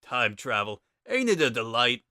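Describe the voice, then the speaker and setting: A man's voice: medium pitch, big pitch swings, normal speed, sharp tone, clear, resonant tone, no room sound, clean studio sound. A man voicing a laid-back, wry joker with a casual, sardonic drawl in a dramatic story reading. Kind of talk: dramatic reading of fan fiction.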